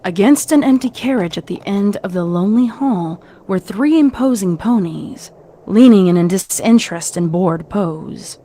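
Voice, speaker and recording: A woman reading scripted story narration in a measured, dramatic way. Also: mid-range voice, animated, warm tone, a little hiss or hum.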